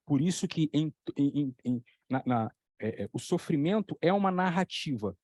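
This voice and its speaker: A man talking as a psychoanalytic lecturer, telling stories with lively examples and repeating key phrases for emphasis. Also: on the high side, moderate pace, dry recording, clean studio sound. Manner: animated storytelling, acting out voices in the examples. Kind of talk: explanatory talk on a video channel.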